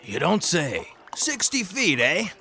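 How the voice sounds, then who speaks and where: A man's voice: high voice, wildly swooping pitch, slow pace, sharp tone, full character voice. An actor playing a blunt, mocking skeptical man in an audio drama. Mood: scoffing. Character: skeptical scoffing man doubting monster tales. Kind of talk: amateur audio drama acting.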